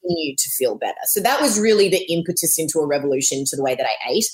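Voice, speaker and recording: Chatty Australian woman, lowish voice. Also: very fast. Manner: animated, chatty storyteller, laughs often. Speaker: guest. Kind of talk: casual interview.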